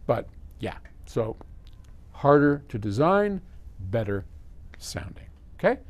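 A man's voice: mid-range voice, very animated, takes it slowly. An American man in his seventies casually explaining to camera, conversationally answering a question with simple examples and asides. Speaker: audio company presenter answering viewer questions. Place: small indoor electronics workshop.

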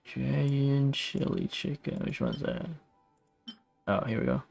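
A man's voice: mid-range voice, big pitch swings, extremely slow, gravelly, darkish tone, soft background music. A man casually commentating his gameplay as a streamer, muttering to himself in a self-deprecating way. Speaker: game streamer.

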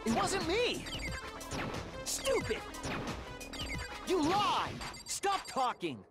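A man voicing a hot-headed, cornered teenage boy in an anime video game, yelling in frantic, defiant denial. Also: huge pitch swings, drawn-out words, heavily acted. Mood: frantic, furious. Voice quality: shrill yelling. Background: loud game music.

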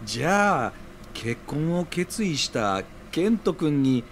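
Guy acting chill reasonable friend in audio drama, gentle, lively intonation, faint noise behind. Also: on the high side, takes it slowly, heavily acted. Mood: cheerful. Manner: gentle, reassuring. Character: easygoing, reasonable, reassuring male friend.

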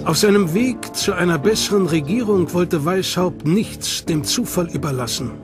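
Male documentary narrator, high voice, scripted, suspenseful, music loud behind. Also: normal speed. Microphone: studio mic. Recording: studio voice-over over loud music.

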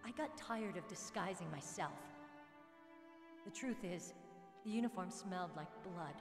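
A woman's voice: fairly low pitch, unhurried. Heavy echo and background music surround a young woman soldier in a video game. She is tough and proud, speaking frankly and earnestly, with a searching streak under the self-assurance. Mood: guarded, wry. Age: young adult. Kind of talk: dramatic game cutscene dialogue. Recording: echoey reverberant game audio, music underneath.

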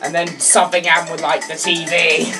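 A teenage girl whose first language is French talks through a video game in a chatty, rambling way, now and then breaking into excited shouts. Her voice sits a little low for a girl. Loud game music plays underneath her the whole time. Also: brisk. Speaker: let's play gamer. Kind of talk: casual game commentary.

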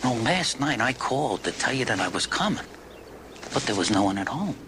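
An actor playing a polite, unassuming police detective in a 1970s TV drama, halting and self-effacing as he talks. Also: medium pitch, big pitch swings, lightly acted. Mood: polite, hesitant. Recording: noisy old TV soundtrack, outdoor ambience.

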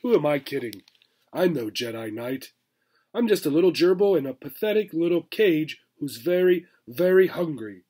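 A man's voice: fairly high pitch, animated, normal speed, mellow. A man voicing an earnest, indignant young female pet gerbil in a storybook, pleading and protesting. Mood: forlorn musing.

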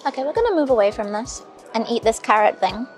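A woman's voice: fairly high pitch, expressive, quick pace, soft background music. A woman in her twenties from the Caribbean and England, chatting casually and candidly as an interview guest over a meal. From Caribbean, later England. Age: 20s. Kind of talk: casual chat over afternoon tea. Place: spacious hotel lounge restaurant, indoors. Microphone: small recorder or phone close across the table.

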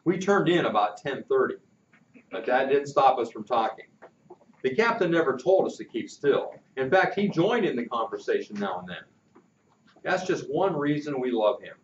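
An older man reading a chapter book aloud in a lively, animated way, stopping now and then to chat with his listeners. He is recorded by a distant camera in a roomy classroom, so the sound is echoey and a little dull. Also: mid-range voice, normal speed, a little hiss or hum. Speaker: storyteller. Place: indoor classroom-like room with posters. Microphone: far camera.

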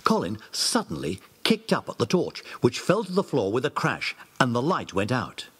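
Man narrating a kids storybook, husky, voice swings up and down a lot. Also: mid-range voice, huge pitch swings, moderate pace, fairly bright tone. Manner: clear, storytelling.

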